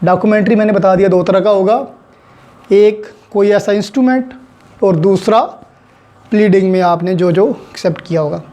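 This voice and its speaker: A man's voice: sits high, normal speed, mellow. A Pakistani man giving an explanatory lecture as a teacher, setting out points step by step with examples and repetition. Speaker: law teacher. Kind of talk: explanatory lecture mixing Urdu with English legal terms.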